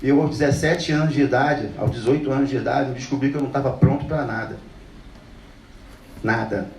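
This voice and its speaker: A man's voice: mid-range voice, fairly quick. A man speaks with blunt conviction and drives his points home by repeating them. He is picked up from some distance in a reverberant room. A faint hiss of noise sits under his voice. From Brazil. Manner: passionate, blunt, repeats phrases for emphasis. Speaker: speaker telling his life story. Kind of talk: earnest talk to an audience.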